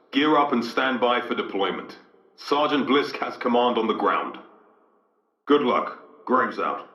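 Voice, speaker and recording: Casting: authoritative middle-aged fleet commander for a sci-fi shooter game; clipped, formal delivery, slightly distant echoey sound. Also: male voice, fairly low pitch, fairly bright tone, dull audio. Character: stern, authoritative male military vice admiral commanding troops. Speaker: military commander. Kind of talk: video game military briefing voice acting.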